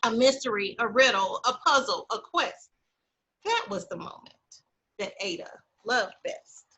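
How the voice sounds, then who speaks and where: Woman doing a kids book read-aloud, really slow n deliberate, bright crisp emphasis on key words. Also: fairly high pitch, expressive, low-quality recording. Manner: deliberate phrasing with dramatic pauses and emphasis. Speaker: children's book reader.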